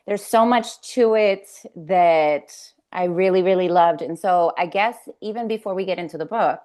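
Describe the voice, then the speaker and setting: A woman hosting an interview over a video call, talking in a halting way with many restarts and slipping into Spanish words. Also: fairly low pitch, a little mellow. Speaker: host. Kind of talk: casual interview chat.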